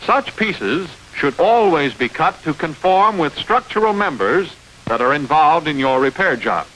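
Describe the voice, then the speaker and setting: A man reading scripted instructional narration for a training film, explaining step by step in a clear manner. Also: on the high side, very animated, measured pace, fairly bright tone, rough recording. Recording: hissy old training film soundtrack.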